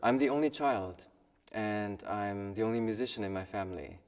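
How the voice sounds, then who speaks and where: A young man in his twenties from South Korea, a violin student, speaking thoughtfully with pauses in an interview. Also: on the low side, clear, resonant tone, big-room sound, recorded from a distance. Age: 20s. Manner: thoughtful, soft-spoken with pauses and small laughs. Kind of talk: personal documentary interview.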